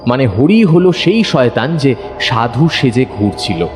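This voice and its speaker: A man narrating a detective audio story in a dramatic, suspenseful, expressive scripted style. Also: mid-range voice, unhurried. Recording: long reverb, music under narration.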